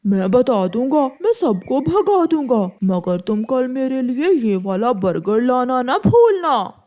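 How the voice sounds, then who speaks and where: High, animated, gullible talking tree voiced by a woman. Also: a little slow. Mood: eager. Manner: trusting, eager, simple. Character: friendly, gullible talking fruit tree, male. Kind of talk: Hindi children's cartoon voice acting.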